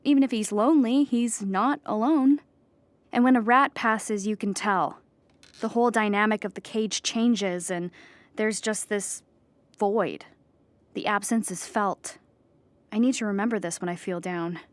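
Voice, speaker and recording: A woman's voice: mid-range voice, measured pace, no echo, close to an everyday voice. A woman playing an earnest, lonely, depressed clown pleading before judges in an audio drama, rambling and childlike as she justifies herself. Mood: anxious, defensive, then sad, subdued.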